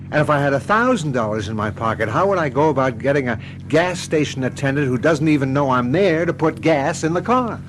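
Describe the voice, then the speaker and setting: A man's voice: a little high, expressive, fairly quick, few pauses, lightly acted. Grim, frustrated man over car noise acted. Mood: doubtful, resigned. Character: bewildered, practical husband, grimly resigned, unseen by everyone. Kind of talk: radio drama acting. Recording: car engine noise behind the voice.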